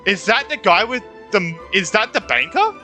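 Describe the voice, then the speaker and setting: A man streaming a game with casual, excitable, slangy reaction commentary. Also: high-pitched, moderate pace, faint music behind. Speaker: gaming streamer.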